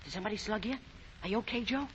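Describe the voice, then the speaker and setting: Excitable high-pitched kid brother. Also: male voice, rapid-fire, slight background noise. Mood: alarmed. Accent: American. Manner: excitable, slangy. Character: eager, concerned boy, the kid brother. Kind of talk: 1940s radio acting. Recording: dull 1940 radio recording.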